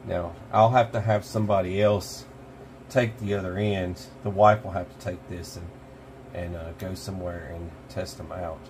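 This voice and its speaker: A man's voice: low voice, fairly even intonation, normal speed. American man, casual gear demo, noisy recording. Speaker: host of a prepper gear channel. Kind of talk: casual product demo to camera. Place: small cluttered indoor room.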